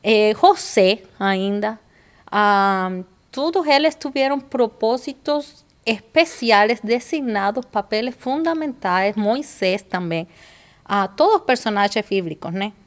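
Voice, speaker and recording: A woman's voice: medium pitch, lively intonation, slow. A woman from Cuba with a Cuban Spanish accent, a guest on a casual podcast, speaking Portuguese thoughtfully and slipping into Spanish words. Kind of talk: casual chat.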